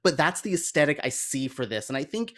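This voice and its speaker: A man's voice: a little high, big pitch swings, fairly quick, a bit bright. A man on a podcast panel chatting casually and enthusiastically about films and games.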